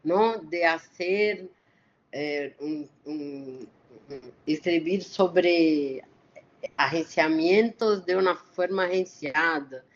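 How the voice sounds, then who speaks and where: A woman with a mixed Porteño and Portuguese-influenced accent, a guest lecturer discussing academic ideas haltingly as she searches for words. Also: sits low. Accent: Porteño-influenced Spanish with Portuguese mix. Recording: dull, lo-fi online audio.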